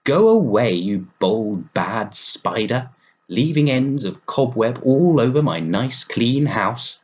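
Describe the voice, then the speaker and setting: A man's voice: medium pitch, animated, takes it slowly, a little mellow, dull audio. A man voicing a fussy, tidy little female wood mouse with a prim, scolding manner in a storybook.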